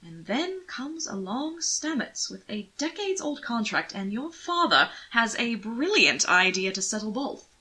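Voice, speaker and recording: A woman plays a young aristocratic cad in an audiobook. He drawls with suave amusement and likes to tease. The voice is bright and crisp-edged, with a rakish confidence. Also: a little high, very animated, measured pace, few pauses, pitched above the performer's own voice, heavily acted. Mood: wry, calculating. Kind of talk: audiobook character voice.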